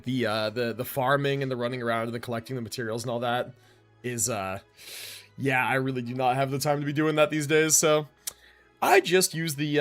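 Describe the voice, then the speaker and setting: A man's voice: medium pitch, fairly bright tone. A man chatting casually and informally as he livestreams a game, rambling in slangy speech. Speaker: live streamer. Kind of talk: casual chat while livestreaming gameplay.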